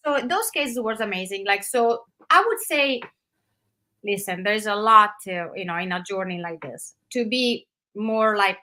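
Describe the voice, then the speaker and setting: A middle-aged woman from Italy with an Italian accent, speaking in a relaxed, animated way with many fillers as a guest in an interview. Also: medium pitch, measured pace. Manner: animated, loose run-on phrasing with frequent fillers. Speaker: guest, actress. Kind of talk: casual interview conversation.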